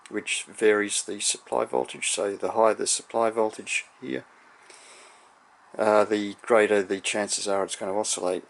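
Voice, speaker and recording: A man giving an unscripted technical demonstration, explaining in a measured, somewhat hesitant way. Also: on the low side, creaky. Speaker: electronics hobbyist presenter.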